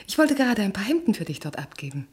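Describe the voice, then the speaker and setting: A composed wife from a radio play, managing her husband with gentle insistence. Her mid-pitched voice is husky and breathy, and she speaks with quiet control. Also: very animated, fast. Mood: composed, insistent. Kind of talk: German radio play acting.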